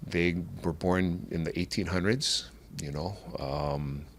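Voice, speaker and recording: A middle-aged man from Oahu with a Hawaiian accent, talking as a podcast guest in a halting way with many restarts. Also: sits low. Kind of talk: casual conversational interview.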